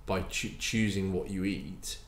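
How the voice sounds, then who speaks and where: Man, 40s, very low voice, emphatic baker-owner, roomy room sound with faint noise. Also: measured pace. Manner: animated, emphatic, repeats words as he restarts. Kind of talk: casual podcast interview. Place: bakery room with hard walls. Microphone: desk mic on stand.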